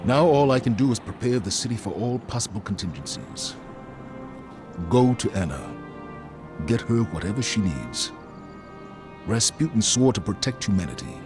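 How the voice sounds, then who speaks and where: Stern commander, low voice, lively delivery, clipped orders, loud game score underneath. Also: male voice, normal speed, pauses often, over music. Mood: grave. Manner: grave, determined, clipped orders. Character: stern male military commander, resolute. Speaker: military commander. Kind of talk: video game cutscene dialogue.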